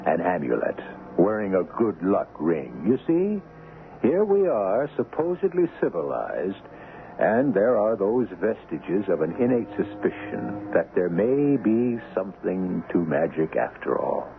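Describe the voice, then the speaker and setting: A man hosting a 1970s radio mystery show, reading scripted narration in a theatrical, deliberate manner. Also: low voice, huge pitch swings, slight background noise. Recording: tinny old radio broadcast with music.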